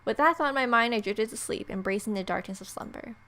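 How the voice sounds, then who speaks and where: A woman's voice: a little high, fast talker, bright, forceful tone. A woman hosting a let's play, reading game narration expressively and adding playful, wry casual asides. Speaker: gamer, video host.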